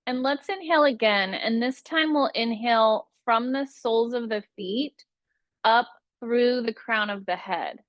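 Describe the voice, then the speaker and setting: A woman teaching a guided yoga nidra meditation, giving soothing, unhurried instructions with long pauses between phrases. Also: a little high, no room sound, highs cut off.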